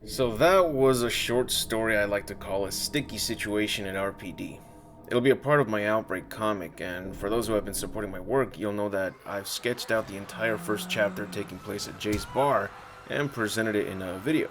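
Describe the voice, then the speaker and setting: Male creator-narrator, low pitch, scripted story delivery and channel talk, prominent music bed underneath. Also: moderate pace. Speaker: comic creator narrating own video.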